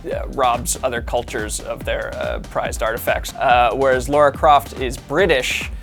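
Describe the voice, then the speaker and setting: A man with a mid-pitched voice comments on films casually and dryly. Faint music sits behind him. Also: steady tone, measured pace.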